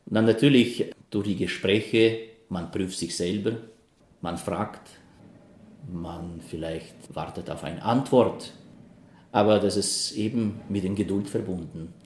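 A man in his fifties from Ukraine, a priest, speaking German with a Ukrainian accent in halting interview answers with frequent fillers. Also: low-pitched, a little mellow. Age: 50s. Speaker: Salesian priest. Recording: roomy echo.

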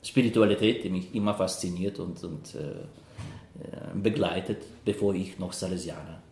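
A man in his fifties from Ukraine, a priest, speaking German with a Ukrainian accent in halting interview answers with frequent fillers. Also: deep voice, steady tone, darkish tone, a little hiss or hum. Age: 50s. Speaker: Salesian priest. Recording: roomy echo.

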